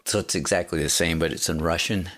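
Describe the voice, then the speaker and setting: A man hosting a casual interview, rambling and self-deprecating, with many halting fillers. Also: low voice, fairly even intonation, a bit bright. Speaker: host and songwriter.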